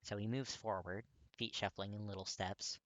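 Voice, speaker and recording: Bright, low-pitched man narrating. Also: fairly even intonation, normal speed, dry recording, rough recording. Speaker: audiobook-style fan fiction reader. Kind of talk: expressive scripted story narration.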